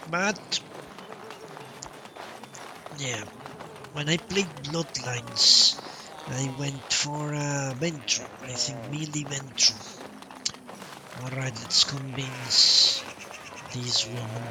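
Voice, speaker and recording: A man's voice: mid-range voice, steady tone, takes it slowly, pauses often, background noise. A man doing casual live gameplay commentary as a streamer, musing and thinking aloud in short phrases. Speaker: game streamer. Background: game music.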